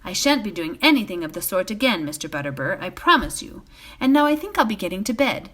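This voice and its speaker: A woman's voice: mid-range voice, sing-song, quick pace, fairly bright tone. A woman voicing a polite, uneasy male hobbit traveler in a storybook, courteous and defensive. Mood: apologetic, polite.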